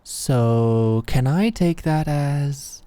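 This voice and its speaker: A woman's voice: sits low, very slow, mellow, dry recording. Woman doing a smug young british businesswoman for asmr roleplay, adoring and teasing, dry close sound. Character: Alex, cocky, adoring young queer businesswoman celebrating with fiancée. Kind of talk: scripted ASMR audio roleplay.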